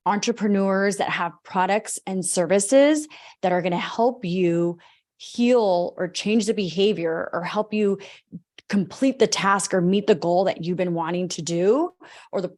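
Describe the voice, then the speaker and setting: A woman hosting a podcast, eager and chatty, running her sentences together as she introduces a guest. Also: mid-range voice. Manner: eager, talks in long run-on sentences. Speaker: podcast host. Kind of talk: podcast interview, enthusiastic intro.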